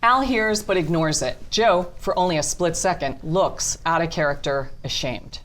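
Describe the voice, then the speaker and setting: A woman's voice: on the low side, normal speed. A woman narrating the stage directions of a script as scripted narration.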